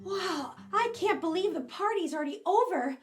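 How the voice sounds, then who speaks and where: Children's puppet character played by a woman: small, excitable, earnest, extremely high and animated. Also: normal speed, faint music behind. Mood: excited, wistful. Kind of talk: children's puppet show acting.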